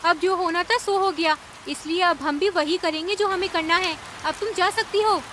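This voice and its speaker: A woman's voice: extremely high pitch, moderate pace, a bit bright, slight background noise. A woman voicing emphatic, dramatic female animal characters in a Hindi cartoon, a stern ant queen among them. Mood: bitter, hurt.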